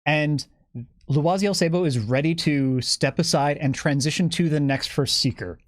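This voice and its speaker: A man's voice: a little high, normal speed, dry recording. Man explaining in detail, very dry sound. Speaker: guest game developer giving campaign updates. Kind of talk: explanatory talk on a live stream.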